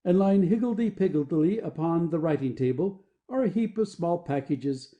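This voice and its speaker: Mellow, soft-toned man narrating stage directions. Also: on the high side, expressive, normal speed, highs cut off. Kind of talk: scripted narration of a play.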